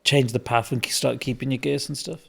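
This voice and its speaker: Host guy with a gravelly fry in his voice, easygoing questions, lots of yeahs. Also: medium pitch, fairly even intonation, brisk, a bit bright. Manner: brief encouraging backchannels, loose questions. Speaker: podcast host. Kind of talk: casual interview questions.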